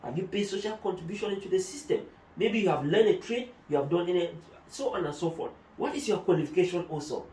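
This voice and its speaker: A man's voice: sits high, lively intonation, quick pace, a little mellow. Man explaining asylum procedures, echoey room. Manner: explains procedures step by step, uses German terms. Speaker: migration adviser. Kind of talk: explanatory talk-show discussion. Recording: echoey room, mic at a distance.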